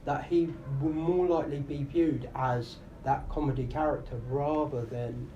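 A man's voice: a little high, fairly even intonation, moderate pace, mellow, dull audio. A man who is a comedian and radio host, answering interview questions in casual, thoughtful talk with many fillers. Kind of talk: podcast interview. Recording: live room with faint noise.

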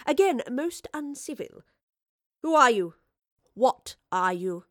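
A woman's voice: high-pitched, sing-song, slow, no echo, pitched above the performer's own voice, heavily acted. Woman playing a haughty, witty lady professor, dry sound. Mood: defiant, demanding. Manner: arch, commanding, theatrically genteel. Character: bold, witty woman professor, haughty time-travelling adventurer.

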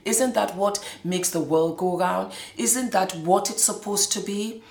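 A woman who grew up in Scotland, hosting a show and talking through her own experiences in an animated way, often breaking into laughter. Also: mid-range voice, unhurried, creaky, echoey room. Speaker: host. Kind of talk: casual personal monologue.

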